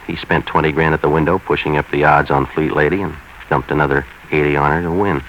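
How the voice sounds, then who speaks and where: A world-weary private eye with an extremely deep voice, telling the case in clipped, cynical slang. The style is deadpan 1940s radio noir. The old recording is dull, with some background hiss. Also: male voice, fast. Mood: dry, matter-of-fact. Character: hard-boiled male private investigator, world-weary and cynical.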